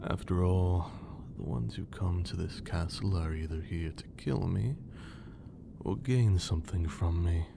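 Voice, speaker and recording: A man playing a haughty, menacing, teasing vampire lord in an ASMR roleplay, with a theatrical drawl that often sinks toward a breathy whisper. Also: deep voice, measured pace, noisy background. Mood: amused warning. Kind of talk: ASMR roleplay, scripted character acting.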